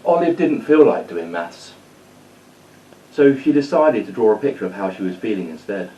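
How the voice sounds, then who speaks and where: Low-voiced man reading a story, distant mic. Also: expressive, moderate pace, dark tone, lo-fi sound. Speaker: storyteller, school staff reader. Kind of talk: children's story read-aloud.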